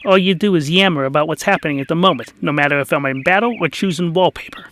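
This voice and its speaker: A man's voice: on the high side, fast talker, fairly bright tone, slight background noise, natural voice. A man voicing an irritable, sarcastic wolf hero in a comic fantasy audio drama, blunt and grumbling. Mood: annoyed.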